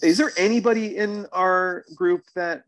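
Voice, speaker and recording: A man's voice: high-pitched, steady tone, measured pace, a bit bright, dry recording. A man hosting a casual podcast discussion, speaking emphatically and repeating words to drive points home. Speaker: podcast host.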